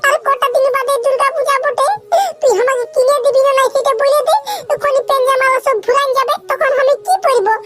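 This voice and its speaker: Squeaky, whiny little village boy. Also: little pitch movement, fairly quick, a bit bright. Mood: whiny, insistent. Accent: Purulia Bengali. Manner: wailing, repetitive complaining. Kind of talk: comic cartoon dialogue. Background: music.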